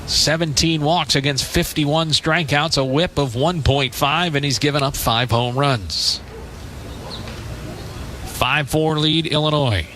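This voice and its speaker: A man's voice: fairly high pitch, slow, pushed and bright, a little hiss or hum. A man doing live radio baseball play-by-play, giving clipped calls and statistics from a stadium. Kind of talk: live radio sports commentary.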